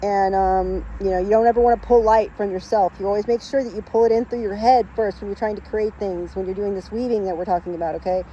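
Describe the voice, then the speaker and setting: A woman's voice: mid-range voice, steady tone, fast talker, hardly any pauses, dark tone, highs cut off, slight background noise. A woman in her late thirties explaining spiritual ideas in a casual, rambling monologue, emphatic and full of fillers. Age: late 30s. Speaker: spiritual vlogger.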